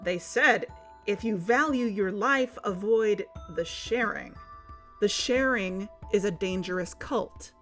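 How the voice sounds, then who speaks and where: A determined podcast investigator played by a woman with a mid-pitched voice and light music behind. She lays out suspicions in an assertive, scripted documentary style for an audio drama. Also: slow, fairly bright tone. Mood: insistent.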